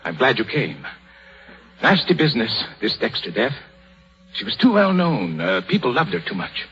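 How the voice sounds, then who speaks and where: An actor playing an aging, anxious surgeon full of regret and self-pity, husky, in a 1930s radio drama. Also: male voice, mid-range voice, big pitch swings, moderate pace, pushed and bright, full character voice. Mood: uneasy. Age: older. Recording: dull 1930s radio broadcast with music.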